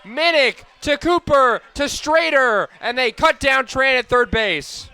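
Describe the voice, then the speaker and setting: A man's voice: very high-pitched, takes it slowly, creaky, sharp tone, clear, resonant tone. A man doing radio sports commentary as a play-by-play announcer.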